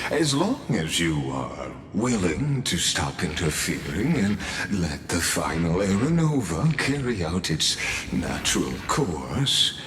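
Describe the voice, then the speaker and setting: A man playing a calm, calculating mastermind in a video game, a grandiose scholarly villain speaking in courtly, eloquent, condescending monologue. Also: low-pitched, a little hiss or hum, heavily acted. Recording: echoing voice over game music.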